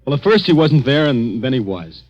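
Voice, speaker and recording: An actor playing an anxious, down-on-his-luck young American man in a 1940s radio drama, halting and agitated. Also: mid-range voice, sing-song, a little mellow, lightly acted. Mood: anxious, confessional. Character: shaken, anxious unemployed young man after a drugged night. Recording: dull, muffled old radio recording.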